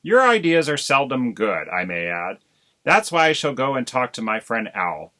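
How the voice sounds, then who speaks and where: A man's voice: high voice, wildly swooping pitch, normal speed, sharp tone, pitched above the performer's own voice, full character voice. A man reading aloud and voicing a pompous, timid husband crow in a storybook, fussy and defensive.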